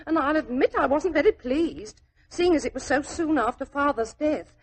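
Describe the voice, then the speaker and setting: A woman's voice: high voice, expressive, moderate pace, slight background noise. An actress playing a chatty, naive young working-class woman in a 1940s radio drama, with a London accent and a flustered, rambling, deferential manner. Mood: indignant, chatty. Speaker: actor in a radio detective drama.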